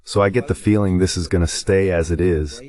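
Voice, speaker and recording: A man's voice: low-pitched, steady tone, a little fast, a little mellow. A man giving conversational political analysis as a guest on a talk show.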